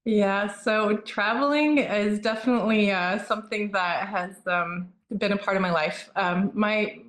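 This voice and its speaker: A woman's voice: mid-range voice, a little slow, roomy sound. A woman plastic surgeon raised in Norway, talking easily as an interview guest with lots of fillers and restarts. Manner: relaxed, frequent fillers and restarts. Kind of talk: conversational interview answers.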